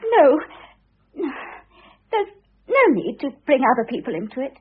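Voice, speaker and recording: Shrill, plaintive cornered young wife, old radio. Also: female voice, very animated, slow pace, husky, full character voice. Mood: defensive, shocked. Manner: plaintive, melodramatic. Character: younger second wife, cornered, tearful, defensive adulteress. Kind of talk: old radio drama acting. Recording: muffled, thin old radio recording.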